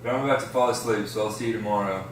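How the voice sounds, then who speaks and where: A man's voice: on the low side, rapid-fire, close to an everyday voice. A man playing a friendly, laid-back teenage stoner boy in an amateur script read. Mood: friendly, tentative. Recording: echoey room, distant mic, faint noise.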